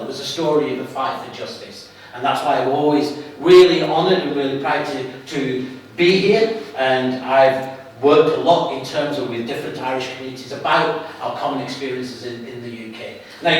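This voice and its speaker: A middle-aged man with a Lancashire accent giving an earnest public talk to an audience, restarting his sentences often. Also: mid-range voice. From England, Lancashire. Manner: earnest, halting with frequent restarts. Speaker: guest speaker. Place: hall at an evening event. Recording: echoey hall from far off, faint hiss.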